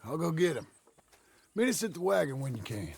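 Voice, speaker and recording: A scrappy male outlaw with a husky, crackling rasp. His fairly high voice belongs to a rough gang member in a Western game. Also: very animated, brisk, darkish tone, full character voice. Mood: businesslike. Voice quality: raspy, gravelly. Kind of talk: cinematic Western video game acting.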